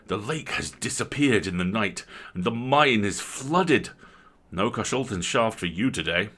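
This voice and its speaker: Man acting a loud, hearty gentleman mine owner. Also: fairly low pitch, animated, a little slow. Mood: brisk, serious. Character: brisk, good-humoured gentleman mine owner. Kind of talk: literary storytelling.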